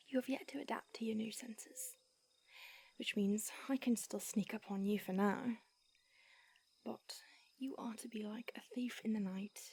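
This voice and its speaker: A woman voicing a calm, centuries-old female vampire mentor in an audio roleplay, speaking in a soft, hushed whisper. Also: medium pitch, slightly rough. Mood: instructive.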